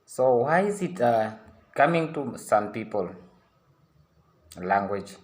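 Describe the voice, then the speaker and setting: A man's voice: mid-range voice, lively intonation, takes it slowly. Earnest young man pleading. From Namibia. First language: a San language. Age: young adult. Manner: earnest, pleading, repeats himself and restarts. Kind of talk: impassioned public appeal.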